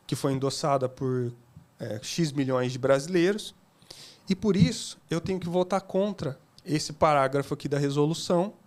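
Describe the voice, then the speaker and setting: Podcast guest, man, animated storyteller with a higher voice. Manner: animated, laughing, acts out quotes. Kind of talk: casual storytelling.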